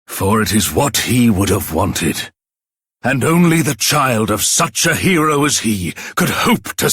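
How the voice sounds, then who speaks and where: A man's voice: lowish voice, a bit bright, husky, dry recording, studio quality, full character voice. An actor playing a solemn, pompous king in a fantasy game, with a stately, declamatory delivery. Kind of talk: theatrical fantasy game voice acting.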